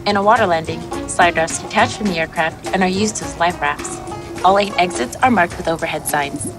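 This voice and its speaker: A woman's voice: on the low side, fairly quick. A woman narrating scripted aircraft safety instructions as a video presenter. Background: music.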